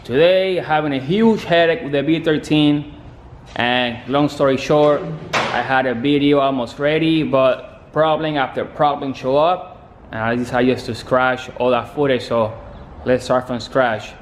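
A man's voice: medium pitch, steady tone, slight background noise. Casual young man in a garage. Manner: casual, halting, with fillers and restarts. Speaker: car builder. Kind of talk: casual workshop vlog. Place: garage workshop, indoors.

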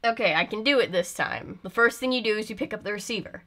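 A woman's voice: medium pitch, quick pace, pushed and bright, slight background noise. A young woman giving casual, comedic reaction commentary, full of sarcastic asides and mock exasperation. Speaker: video host reacting to an old film.

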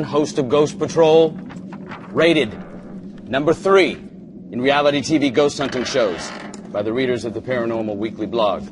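An actor playing a vain, dismissive reality TV ghost hunter in a game cutscene, with a pompous, condescending way of talking. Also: male voice, mid-range voice, background noise. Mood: boastful. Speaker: TV ghost-hunting show host. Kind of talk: video game cutscene acting.

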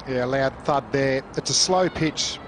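A male cricket commentator calmly explaining the pitch and bowling on live TV. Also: unhurried. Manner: calm, technical analysis. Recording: heavy background noise, outdoor broadcast.

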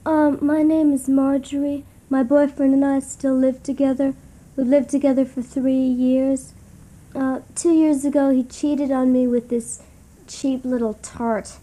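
Meek, high-voiced doormat girlfriend. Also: level tone, a little slow, warm tone, rough recording. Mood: meek, matter-of-fact. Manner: artless, matter-of-fact confessing. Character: meek, desperate doormat girlfriend sharing at seminar. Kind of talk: satirical radio comedy acting.

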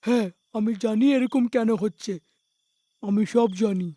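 A performer voicing a calm, all-knowing holy man from a cave in a cartoon, reassuring and explanatory. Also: medium pitch, measured pace, no echo, close to an everyday voice. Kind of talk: animated story dialogue.

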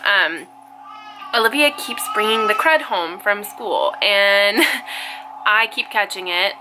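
A woman's voice: medium pitch, takes it slowly. Woman, twenties, nasal cold voice, chatty vlog talk, handheld camera at home, noisy room. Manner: chatty, filler-heavy, playful baby talk. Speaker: family vlogger, twin mom. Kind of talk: casual vlog chat to camera. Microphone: handheld camera close to face.

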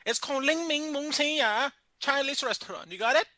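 A man's voice: extremely high pitch, fairly quick, sharp tone, dry recording, voice pushed up. A man voicing an irate woman restaurant owner who speaks broken English and stammers out insults. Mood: indignant. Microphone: phone call.

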